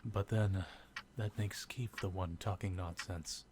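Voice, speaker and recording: Game detective guy, lowish voice, plainspoken and nosy, noisy with ticking behind. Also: fairly even intonation, a little slow. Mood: inquisitive, businesslike. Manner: casual, probing, plainspoken. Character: businesslike, probing male investigator. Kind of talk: game drama dialogue. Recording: clear ticking background noise.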